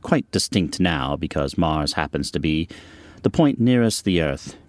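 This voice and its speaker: A man portrays a learned, assured male professor in an old-time radio drama. His voice is deep and his delivery measured, calm and faintly dismissive. The sound is dry, without room echo.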